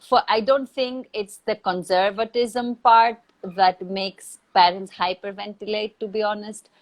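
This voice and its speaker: A woman who is not a native English speaker, giving reflective advice in a thoughtful, measured way with deliberate pauses. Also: mid-range voice, steady tone. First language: not English or Hindi. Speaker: acting teacher, interview guest. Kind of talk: reflective advice in a live online Q&A.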